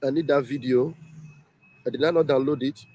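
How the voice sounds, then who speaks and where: A man's voice: mid-range voice, steady tone, moderate pace, dark tone. A man hosting a casual livestream, emphatic and repeating himself often. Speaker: livestream host. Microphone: home livestream setup.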